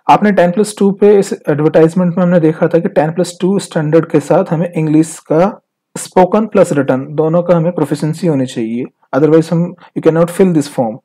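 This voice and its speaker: A man's voice: fairly high pitch, dark tone. A man with an Indian accent presenting an informal step-by-step tutorial in an explanatory, instructional way. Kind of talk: informal step-by-step screen tutorial, mixing in English phrases.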